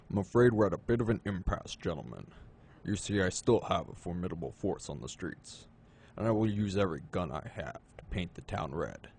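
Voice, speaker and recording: A man playing a suave, menacing gentleman crime boss in an audio drama, unhurried and courtly in manner. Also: low voice, vocal fry, husky, full character voice. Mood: calmly threatening.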